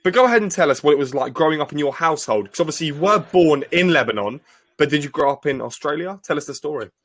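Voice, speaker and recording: A man's voice: on the high side, lively intonation, fast talker, fairly bright tone. A man hosting a podcast, introducing his guest and asking questions in an upbeat way. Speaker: podcast host. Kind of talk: interview questions.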